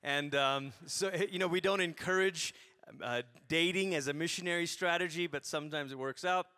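A man in his forties from Malaysia, preaching a sermon through animated, humorous stories. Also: a little high, moderate pace, clear, resonant tone, no room sound.

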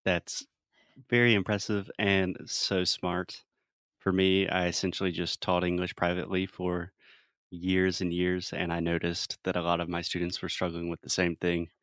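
A man's voice: deep voice, completely flat delivery, normal speed, a bit bright, dry recording, clean studio sound. A man from South Carolina with an American accent, an English teacher chatting casually as an interviewer. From South Carolina, United States.

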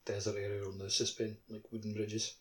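A man's voice: lowish voice, completely flat delivery, fairly quick, a crowd in the background. A man co-hosting a podcast in casual chat, with loose, tentative phrasing. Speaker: podcast co-host.

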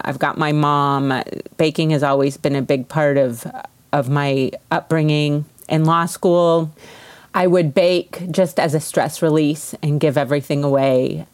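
This is a woman with a distinctly low-pitched voice. She talks casually and informally, like an interview guest recounting her own story. The sound is dry, with hardly any room echo. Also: a little mellow. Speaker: interview guest, baker and business owner.

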